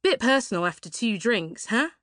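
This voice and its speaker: A woman's voice: on the high side, big pitch swings, normal speed, fairly bright tone, dry recording, voice pushed up. A woman reading a story, voicing a guarded thirty-six-year-old woman who answers in a dry, understated way.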